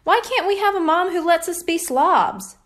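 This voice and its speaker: A woman voicing an eager, scheming six-year-old boy with a pleading, excitable manner in a children's storybook. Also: very high voice, normal speed, pitched above the performer's own voice. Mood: stubborn, scheming.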